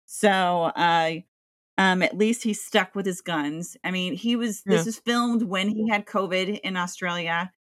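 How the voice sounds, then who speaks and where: A woman's voice: mid-range voice, a little slow, fairly bright tone, no room sound, studio quality. A woman co-hosting a casual film podcast, talking in a rambling way with many fillers. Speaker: podcast co-host.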